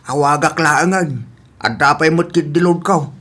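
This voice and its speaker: A man's voice: fairly high pitch, a little slow, bright, forceful tone. Older farmer father male actor, easygoing, Ilocano accent, comic radio drama. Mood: agreeable.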